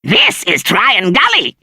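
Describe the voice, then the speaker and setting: An actor voicing a friendly, cackling monster who welcomes humans and exclaims between phrases. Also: male voice, sits high, huge pitch swings, slow pace, bright, forceful tone, husky, dry recording, clean studio sound, heavily acted. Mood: gleeful.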